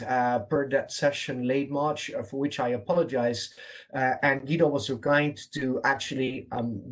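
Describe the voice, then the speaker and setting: A man from the Netherlands with a Dutch accent, presenting an online training session over a video call in an earnest, emphatic way. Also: on the high side.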